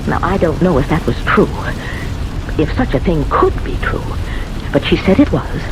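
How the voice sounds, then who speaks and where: Casting: lonely, distraught old woman for vintage radio play; expressive, repetitive, insistent delivery over background music. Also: sits low, poor audio. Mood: agitated. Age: older. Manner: halting, agitated, repeats herself. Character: distraught, confused lonely old lady insisting on her story. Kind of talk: old-time radio drama acting.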